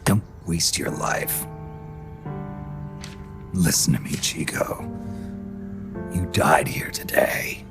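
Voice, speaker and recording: Gruff fatherly soldier dude, super deep growl, terse, game cutscene with music behind. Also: heavily acted. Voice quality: deep, gravelly, husky growl. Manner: terse, measured, low-key delivery. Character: gruff, stoic middle-aged male soldier, fatherly mentor. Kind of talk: dramatic video game cutscene acting.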